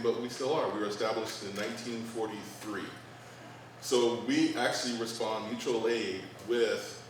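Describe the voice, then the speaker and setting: Brief: male fire chief, raspy-sore voice, lowish, friendly, distant mic with audible room noise. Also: very echoey. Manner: friendly, laughs easily, speaks with fillers. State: hoarse. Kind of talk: community meeting report. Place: community meeting room.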